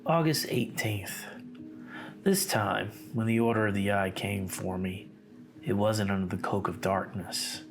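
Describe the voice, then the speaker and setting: A man performs a somber investigator in an analog horror drama, recounting his time inside a cult. His voice sits on the low side and stays measured and guarded. Clear music plays behind the narration. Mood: guarded, reflective. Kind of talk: scripted horror narration, diary-like.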